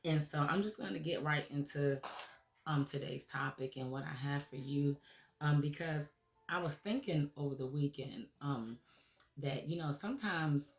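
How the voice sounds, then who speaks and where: A woman hosting a motivational radio show, her voice raspy from recent laryngitis, talking earnestly and encouragingly with many "um"s and "okay?"s. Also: deep voice, echoey room. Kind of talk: motivational talk show monologue.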